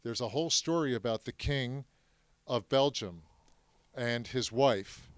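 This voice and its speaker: Guy whose first language is french, lively storyteller quizzing the audience, dry close sound. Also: mid-range voice, a little slow, clear, resonant tone, dry recording. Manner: animated storyteller, asks the audience questions. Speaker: speaker telling his family's Holocaust story to an audience. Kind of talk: presentation to a live audience.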